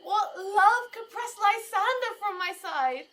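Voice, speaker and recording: An actress playing a devoted, anxious young maiden in a Shakespearean audio drama, speaking verse in an earnest, theatrical way. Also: female voice, very high-pitched, animated, takes it slowly, fairly bright tone, heavily acted. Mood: anxious devotion.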